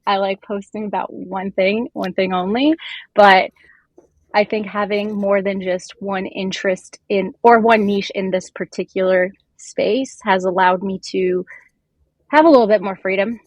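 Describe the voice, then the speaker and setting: A woman's voice: medium pitch, steady tone, a little slow, no echo, low-quality recording. A talkative woman, a native Turkish speaker raised in Canada and the US, chatting informally as a podcast guest. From immigrant raised in Canada and the US. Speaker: podcast guest, beer content creator. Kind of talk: casual chatty interview.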